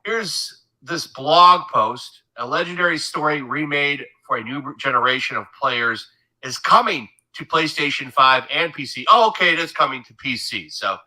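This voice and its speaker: A man gives gaming news commentary from a script, measured and steady. He sounds congested from a cold. His voice is very bright and sharp, and it sits a little high. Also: rough recording.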